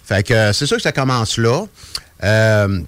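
A man's voice: on the low side, a little slow, clean tone, no echo. An older man with a Quebec French accent telling anecdotes in a casual, jokey radio chat, often interrupting himself and wandering off. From Trois-Rivières, Quebec. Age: 50s or older. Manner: rambling, jokey, animated storytelling with self-interruptions. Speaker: guest magician. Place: dry radio studio.

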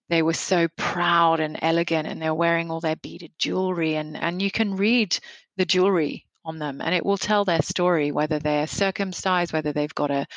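A woman's voice: fairly low pitch, a bit bright, dry recording. A woman in her forties from Kenya, a photographer answering interview questions in a reflective way, often restarting and repeating words.

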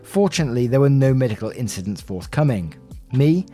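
A man reading a written story aloud as a podcast host, with expressive delivery and brief asides. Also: medium pitch, brisk, soft background music.

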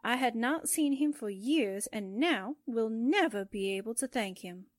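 Naive, dreamy girl sidekick, played by an actress: high, wistful, gushing, old-time radio comedy-drama, dry sound. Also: lively intonation, unhurried, no room sound. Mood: gushing, tearful. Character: dreamy, gullible, sentimental young woman secretary sidekick.

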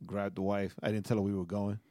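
A man's voice: on the low side, little pitch movement, fast talker, warm tone, dry recording, clean studio sound. A man in his forties co-hosting a podcast, telling stories animatedly, laughing often and checking in with "right?". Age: 40s. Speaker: podcast co-host. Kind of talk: casual chat.

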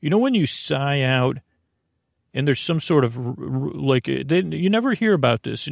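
Gravelly, creaky man rambling at bedtime. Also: mid-range voice, no room sound, studio quality. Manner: meandering, halting, goes off on tangents. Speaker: podcast host. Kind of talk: rambling bedtime podcast monologue.

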